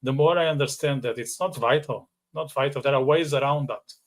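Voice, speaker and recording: A man with a Portuguese accent hosting an informal interview over a video call. He talks in a relaxed way, with a few fillers, and his pitch sits in the ordinary middle range. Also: brisk. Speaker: podcast host.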